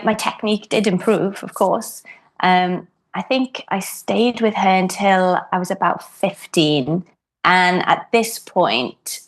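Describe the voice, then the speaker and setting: Woman in her 30s, low-ish voice, chatting slow and thoughtful on a podcast. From Wales. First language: Welsh. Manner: thoughtful, frequent ums and restarts. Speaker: guest harpist. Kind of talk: reflective podcast interview.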